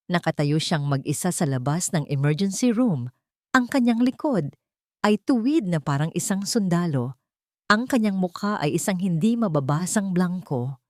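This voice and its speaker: A woman narrating a scripted dramatic story as an audiobook, with solemn, deliberate phrasing. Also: on the low side, darkish tone, no echo, clean studio sound.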